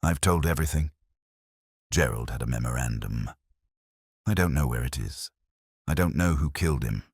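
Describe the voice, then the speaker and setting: Man voicing a tense widow in low pitch. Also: lively intonation, normal speed, slightly rough, no echo, studio quality, full character voice. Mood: frightened, defensive. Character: tense, frightened widow, guarded.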